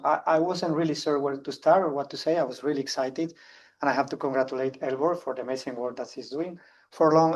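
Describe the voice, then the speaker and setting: A man from Spain with a strong Spanish accent, giving an earnest, fast-paced conference talk with frequent restarts. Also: mid-range voice, darkish tone, recorded from a distance, poor audio. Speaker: conference speaker. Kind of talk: public talk.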